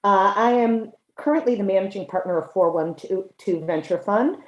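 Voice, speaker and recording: A woman's voice: mid-range voice, moderate pace, echoey room, dull audio. A middle-aged woman giving semi-formal introductory remarks as a contest judge and investor. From United States.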